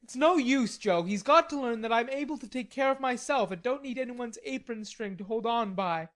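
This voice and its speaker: A woman reading an audiobook, voicing a stubborn, hot-tempered young gentleman in indignant outbursts. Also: a little high, moderate pace, voice pushed up, close to an everyday voice. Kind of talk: audiobook character voice.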